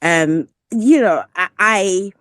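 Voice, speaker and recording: A woman's voice: medium pitch, expressive, slow pace, a bit bright, no room sound. A woman speaking in an online discussion, sharing casual personal remarks in a hesitant, reflective way.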